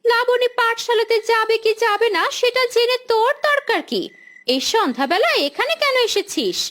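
A woman's voice: very high voice, lively intonation, normal speed, fairly bright tone. A woman voicing a haughty, scornful well-off village wife who scolds in a children's cartoon. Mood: scornful, irritated.

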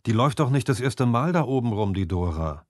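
A man's voice: medium pitch, animated, a little fast, a little mellow, no echo, clean studio sound, natural voice. A man voicing a grudging, easily cowed village master craftsman in a fantasy audiobook. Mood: irritated. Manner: grudging, backpedalling, colloquial.

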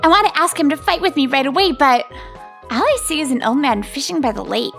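A woman's voice: high-pitched, lively intonation, fairly quick, creaky, a bit bright, heavily acted. A woman playing a brash, blunt teenage tomboy who gets shy and flustered, in an English-dubbed manga romcom cartoon. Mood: brash, incredulous. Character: brash tomboy teenage girl fighter, secretly girlish and shy. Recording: music behind the voice.

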